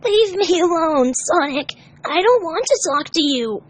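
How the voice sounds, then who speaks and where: A woman's voice: very high-pitched, expressive, unhurried, pitched above the performer's own voice. A woman voicing a sensitive, tearful young male fox kit with a plaintive, emotional delivery. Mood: hurt, tearful.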